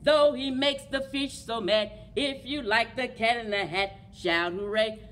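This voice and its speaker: A middle-aged woman hosting a children's story time in an animated, encouraging way, as if leading little listeners along. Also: a little high, measured pace. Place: indoors among library bookshelves. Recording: clear background noise, room ambience.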